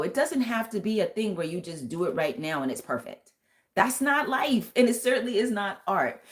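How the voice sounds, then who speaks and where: A woman with a thick, lower voice giving a live tarot reading and talking straight to her viewers with earnest, intuitive warmth. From United States. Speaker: tarot reader and vocal coach.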